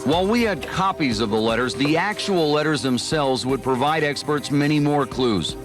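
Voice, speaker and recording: A man's voice: a little high, expressive, moderate pace. An American man narrating a scripted television news report as the reporter. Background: music.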